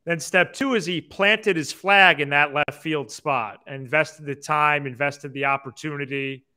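A young man hosts a baseball podcast with a bright, forward voice pitched a little high. He lays out his analysis casually, point by point, close to a desk microphone. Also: unhurried, clear, resonant tone. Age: young adult. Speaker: sports podcast host. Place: small indoor room, video call.

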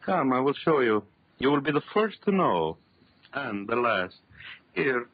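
Sinister power-crazed doctor, raspy and really swoopy, old muffled 30s radio horror. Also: male voice, mid-range voice, very animated, heavily acted. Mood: sinister, commanding. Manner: theatrical, commanding, deliberate. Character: megalomaniac villain doctor, menacing, boastful, obsessed with power. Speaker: radio actor. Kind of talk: 1930s radio horror acting.

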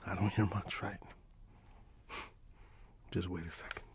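A middle-aged man in an ASMR roleplay as a friendly candy seller, speaking softly and half in whispers to a customer, close to the mic. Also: low-pitched, level tone, noisy background. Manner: soft-spoken, half-whispered, unhurried, friendly shopkeeper patter. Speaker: ASMR performer playing a candy shop keeper. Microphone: close mic, facing the camera at close range.

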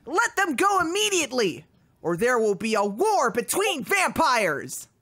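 A woman's voice: sits high, big pitch swings, unhurried, a bit bright, dry recording, heavily acted. Woman playing a bossy, swooping young duck vampire. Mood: indignant. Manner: dramatic, commanding.

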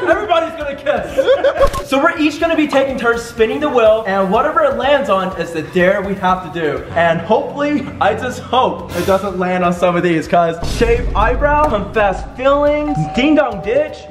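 A young man hosting a casual vlog, excitable and quick to laugh and yelp. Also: very high voice. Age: young adult. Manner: excitable, yelps and laughs often. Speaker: host. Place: large home kitchen indoors. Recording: echoey room with background music.

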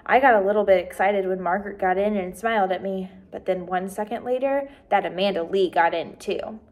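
A woman in her twenties reading a children's book aloud with expressive, clearly enunciated, emphatic phrasing for young listeners. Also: mid-range voice. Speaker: first grade teacher reading aloud.

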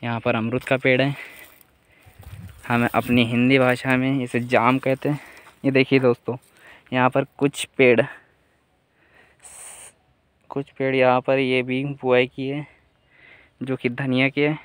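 Young man vlogging outdoors, flat voice. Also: medium pitch, unhurried, pauses often, clear, resonant tone, no room sound. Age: young adult. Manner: chatty, addresses viewers as friends. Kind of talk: casual walking farm tour vlog. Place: outdoors on a dry farm among trees. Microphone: phone held at arm's length.

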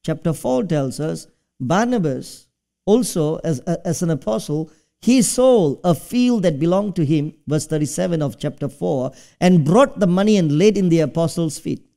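A man's voice: fairly high pitch, animated, moderate pace, creaky, dark tone. A middle-aged man from Singapore, preaching a sermon from a church stage and asking the congregation questions. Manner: conversational preaching, asks the audience questions. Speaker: pastor.

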